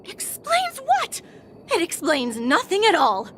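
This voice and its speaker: A woman's voice: very high-pitched, wildly swooping pitch, takes it slowly, a bit bright, slight background noise, exaggerated voice. A woman playing an exasperated, suspicious young townswoman in a video game, incredulous and scolding. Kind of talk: video game character acting.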